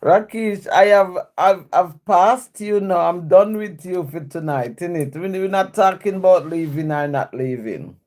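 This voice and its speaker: A woman's voice: on the low side, unhurried, few pauses, darkish tone. A woman hosting a casual live stream, reading viewer comments aloud and answering them in a chatty way with frequent laughs.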